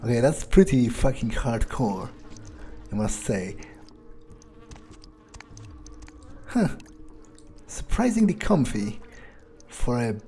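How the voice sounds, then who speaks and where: A man's voice: mid-range voice, sing-song, measured pace, long pauses, warm tone, slight background noise. A man playing a jaded, foul-mouthed vampire who mutters wry remarks to himself during a video game playthrough. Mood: appalled disbelief. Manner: muttering, wry, swearing often. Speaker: gaming video creator playing in character. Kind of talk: in-character game playthrough monologue.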